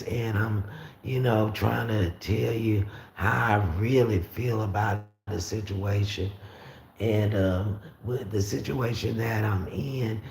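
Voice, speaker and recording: A raspy-voiced man from Florida hosting a casual livestream, talking easily and reading out viewer comments. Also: lowish voice. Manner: rambling, easygoing, frequent fillers and restarts. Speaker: livestream host. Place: room at home with the windows open. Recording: roomy echo with faint street noise.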